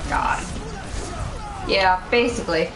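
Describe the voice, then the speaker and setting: A woman's voice: medium pitch, slow. A woman streaming a game, chatting casually and telling anecdotes in a blunt way. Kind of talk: casual chat, animated anecdotes. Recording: roomy echo, faint background noise.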